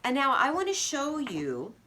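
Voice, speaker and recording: A woman storyteller and teacher reading aloud and explaining for children, with deliberate, emphatic phrasing. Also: high-pitched, wildly swooping pitch, pushed and bright. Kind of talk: children's read-aloud and simple lesson.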